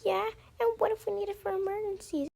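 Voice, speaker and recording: A woman's voice: very high-pitched, flat delivery, a little fast, gravelly, mellow, pitched above the performer's own voice. A woman voicing a sulky, dramatic teenage girl in an amateur roleplay story. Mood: panicked.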